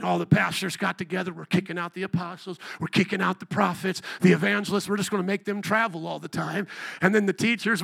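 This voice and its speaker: A middle-aged man from Indiana preaching a sermon as pastor, animated, rising to near shouts on rhetorical questions. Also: sits high, gravelly. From Indiana, USA.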